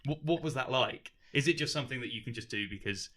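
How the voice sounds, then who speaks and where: A man's voice: medium pitch, fast, fairly bright tone. A man with an English accent hosting a podcast in a casual, chatty and self-deprecating conversational style. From rural England. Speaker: podcast host.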